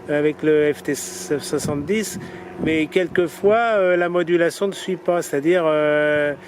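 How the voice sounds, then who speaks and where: Higher-voiced man on ham radio, noisy outdoors. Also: measured pace. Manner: spells call signs in phonetic alphabet. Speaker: amateur radio operator. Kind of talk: ham radio contact, casual demonstration. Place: outdoors, portable station. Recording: noisy outdoor recording, vehicle and urban sounds.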